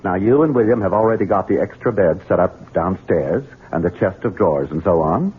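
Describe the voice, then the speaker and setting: A sly Southern gentleman in an old radio mystery. His voice is low and very husky, swinging between sugary courtesy and menace, through a tinny, muffled broadcast sound. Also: expressive, brisk, exaggerated voice. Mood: curt, scheming. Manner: mock-courteous, domineering. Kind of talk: 1970s radio mystery acting.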